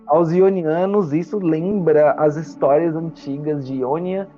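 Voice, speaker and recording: A man's voice: on the high side, measured pace, warm tone. A Brazilian man working as game master, describing a fantasy world for a tabletop roleplaying game with conversational tags along the way. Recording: dull lo-fi audio under music. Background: background music.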